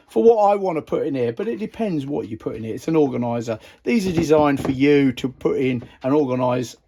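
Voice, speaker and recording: A man with a croaky voice, hosting a casual tool review video in a chatty, rambling way and laughing at himself. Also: on the high side, darkish tone, a little hiss or hum. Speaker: YouTube channel host.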